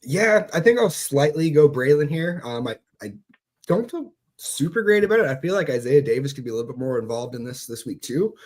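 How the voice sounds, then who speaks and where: Hoarse low-voiced man, relaxed. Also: brisk. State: hoarse, recovering from illness. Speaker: podcast guest analyst. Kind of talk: casual podcast chat.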